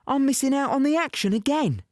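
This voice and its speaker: Mellow, dry-recorded young infantryman, rueful and conversational, wartime audio-play monologue over music. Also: very high voice, lively intonation, no room sound. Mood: rueful, frustrated. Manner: conversational, halting, present-tense storytelling. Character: young wounded British infantryman recounting Normandy, plain-spoken, rueful. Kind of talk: first-person dramatic monologue in audio play.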